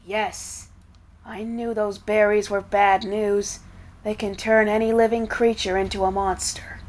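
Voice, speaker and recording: A woman reading a children's story aloud in a deliberate, dramatic manner. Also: medium pitch, flat delivery, a little hiss or hum.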